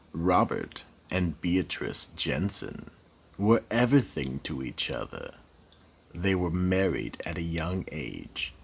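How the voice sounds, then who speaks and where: A man hosting and narrating a horror radio drama in a slow, ominous, dramatic way. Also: rumbling low, gravelly, slightly rough. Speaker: horror anthology host and narrator.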